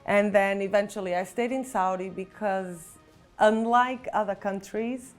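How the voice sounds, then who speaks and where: A woman's voice: mid-range voice, fairly even intonation, unhurried. A woman in her thirties from Portugal, speaking English with a Portuguese accent as a nurse recounting her experience in a reflective interview, with frequent restarts. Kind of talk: personal testimony interview. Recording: faint background music.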